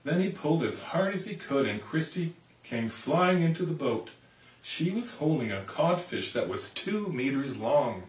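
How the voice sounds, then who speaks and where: A man reading a children's storybook aloud as an animated narrator. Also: a little high, a little slow, a little hiss or hum. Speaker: storybook reader. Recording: roomy, distant microphone.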